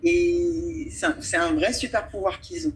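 A woman from France speaks in a thoughtful, unhurried way, stopping now and then with small hesitations as she looks for the right word. Her voice sits at an ordinary middle pitch for a woman. A faint hum of noise lies under her speech. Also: very animated. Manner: thoughtful, pauses often with hesitations. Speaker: actress and children's storyteller, guest. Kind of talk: radio interview, reflective talk.

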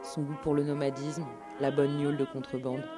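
A woman's voice: sits low, level tone, normal speed. A woman narrating a scripted documentary story as part of a live radio show. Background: music.

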